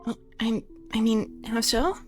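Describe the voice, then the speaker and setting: A man's voice: very high voice, a little slow, soft background music, pitched above the performer's own voice. A man voicing a shy, self-doubting young woman who hesitates and trails off into near-whispers. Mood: timid. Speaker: streamer voicing game characters.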